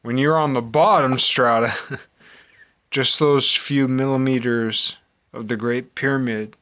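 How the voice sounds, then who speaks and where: A man in his forties with an American accent, giving a rambling, reflective monologue in a halting, musing way with repeated phrases. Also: mid-range voice, fairly even intonation, a bit bright. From United States. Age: 40s. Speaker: vlogger.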